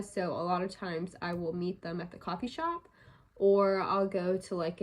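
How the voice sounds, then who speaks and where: Chatty young woman, a bit stuffed up. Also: lowish voice. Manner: rambling, filler-heavy talk. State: a little stuffed up and congested. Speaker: crochet vlogger. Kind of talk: casual vlog chat.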